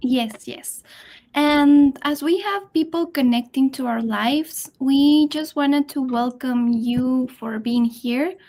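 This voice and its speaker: A woman's voice: sits high, slow pace, warm tone. A woman who grew up in Bolivia and speaks English as a second language, co-hosting a casual live Q&A in a bubbly, chatty way. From born in Peru, raised in Bolivia. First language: Spanish. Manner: bubbly, chatty, laughs often. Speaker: co-host, marketing staff. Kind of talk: casual livestream Q&A chat.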